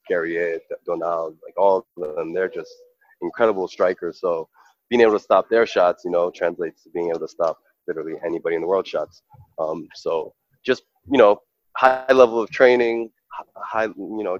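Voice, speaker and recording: A man's voice: low-pitched, dry recording, highs cut off. A man from New York, a professional goalkeeper, giving earnest, reflective interview answers full of fillers and restarts.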